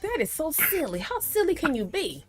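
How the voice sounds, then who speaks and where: A woman's voice: sits high, wildly swooping pitch, normal speed, over loud music. A woman hosting a livestream, chatting casually and playfully and calling the other person baby. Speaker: livestream host.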